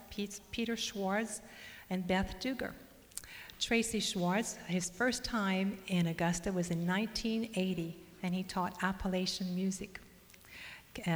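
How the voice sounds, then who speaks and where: A middle-aged woman from Louisiana who grew up speaking Cajun French, announcing a concert in a chatty, informal way with fillers and self-corrections. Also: medium pitch. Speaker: mistress of ceremonies. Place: concert hall stage. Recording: reverberant hall.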